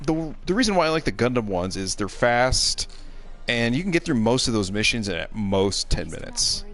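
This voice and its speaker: A man's voice: mid-range voice, sing-song, normal speed, a bit bright. A man giving casual, grumbling and sarcastic commentary while playing a video game with a friend. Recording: game music behind the voice. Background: video game music.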